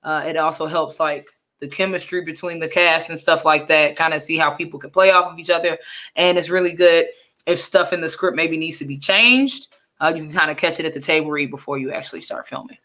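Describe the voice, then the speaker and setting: Young woman with a bright, lowish voice. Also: fairly quick. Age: young adult. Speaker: podcast co-host. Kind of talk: casual chat.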